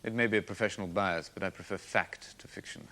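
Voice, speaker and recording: An actor playing a wry, needling defence barrister in a courtroom drama, deliberate and theatrically courteous as he probes. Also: deep voice, steady tone, pushed and bright. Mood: sardonic. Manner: sardonic, deliberate, rhetorical pauses. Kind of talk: courtroom drama cross-examination.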